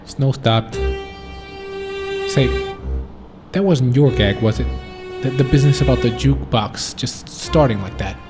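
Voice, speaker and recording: A young man playing a gruff, stubborn bus driver in a school radio play. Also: medium pitch, takes it slowly, long pauses, music in the background, close to an everyday voice. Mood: wary. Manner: blunt, grumbling.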